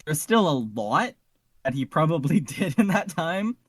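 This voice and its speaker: A man's voice: on the high side, very animated, measured pace, slightly rough, no echo. A man co-hosting a podcast, casually discussing and summarizing fiction. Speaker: podcast co-host.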